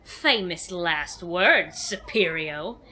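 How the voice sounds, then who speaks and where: A woman's voice: lowish voice, expressive, drawn-out words, bright, forceful tone, a little hiss or hum, full character voice, poor audio. A woman playing a gloating, theatrical female villain in a superhero audio drama. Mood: menacing, taunting. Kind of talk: audio drama acting, stage villain lines.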